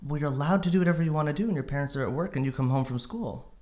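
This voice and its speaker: A man's voice: a little high, a little mellow. A man in his thirties from Connecticut, a guest writer telling casual, self-deprecating stories in a studio interview. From Connecticut, USA. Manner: quick, chatty, self-deprecating anecdotes. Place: studio in New York.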